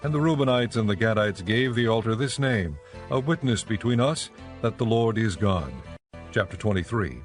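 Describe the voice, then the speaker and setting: Casting: man with fairly low voice, formal measured narrator for audio scripture, scored with music. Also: expressive.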